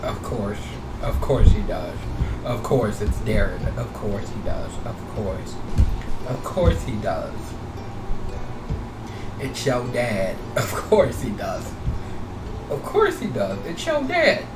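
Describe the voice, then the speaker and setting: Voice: mid-range voice, very animated, slow, pauses often, loud music behind the voice. A streamer giving casual, sweary reaction commentary to a game, often repeating phrases. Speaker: gameplay streamer reacting to a visual novel. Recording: echoey room, very noisy, distant.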